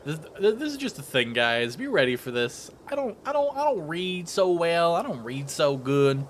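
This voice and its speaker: A man's voice: fairly high pitch, big pitch swings, normal speed, a little hiss or hum. A man narrating a visual novel playthrough, reading theatrically with casual asides and the odd stumble he corrects. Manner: theatrical read-aloud, stumbles over words and self-corrects. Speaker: let's player reading a visual novel aloud.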